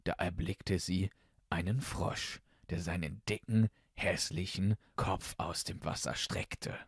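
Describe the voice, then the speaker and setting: A man's voice: deep voice, slow, breathy edge, no room sound, clean studio sound. A man narrating a fairy tale as an audiobook, reading expressively from a script.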